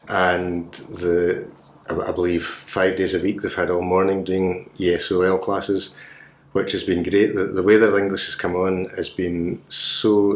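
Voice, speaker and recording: A man in his forties reflecting informally in an interview, repeating words for emphasis. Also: deep voice. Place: plain indoor room. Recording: echoey room.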